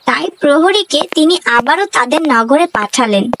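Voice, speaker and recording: A woman with a high voice tells a children's moral tale as a scripted narrator. Some noise and clicks sit behind her. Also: lively intonation, normal speed.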